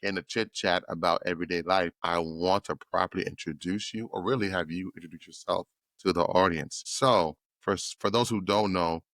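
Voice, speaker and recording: A man hosting a podcast and interviewing his guest in a loose, playful, expressive way. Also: low voice, normal speed, no echo. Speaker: podcast host. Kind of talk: casual interview chat.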